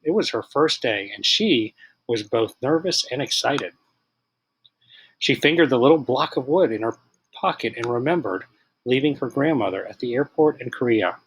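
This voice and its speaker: A man reading a children's picture book aloud as scripted narration, in an expressive manner. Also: medium pitch, normal speed, a little hiss or hum, poor audio. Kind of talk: children's storybook read-aloud.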